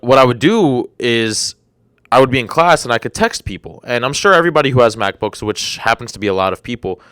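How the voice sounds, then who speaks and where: A man's voice: mid-range voice, fairly bright tone, smooth, dry recording, clean studio sound. A young man in his twenties hosting a solo podcast, rambling and incredulous, with a slightly congested throat. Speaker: podcast host. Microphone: desk microphone.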